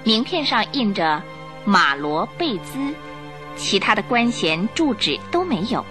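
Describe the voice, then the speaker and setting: A woman's voice: medium pitch, big pitch swings, unhurried, music in the background. A woman giving dramatic scripted narration as the storyteller of a radio drama.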